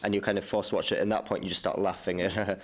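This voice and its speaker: Low-voiced man in casual discussion. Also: level tone, very fast, a loud crowd. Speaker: podcast host.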